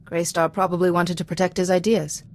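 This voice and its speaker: An actress playing a calm, principled Jedi diplomat in a video game, offering composed, gently admonishing counsel. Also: female voice, fairly low pitch, close to an everyday voice. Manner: composed, measured wording, advisory. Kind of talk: video game character dialogue.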